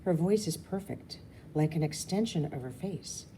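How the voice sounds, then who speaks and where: A woman's voice: low voice, animated, normal speed, mellow, a little hiss or hum. A woman reading a children's novel aloud informally, now and then stumbling and correcting herself. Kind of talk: storybook read-aloud, informal.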